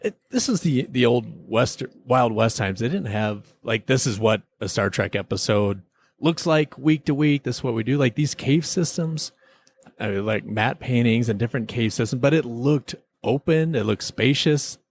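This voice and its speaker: A man's voice: mid-range voice, animated, normal speed. A man co-hosting a casual review chat, talking as an enthusiastic fan. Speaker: podcast co-host reviewing TV episodes.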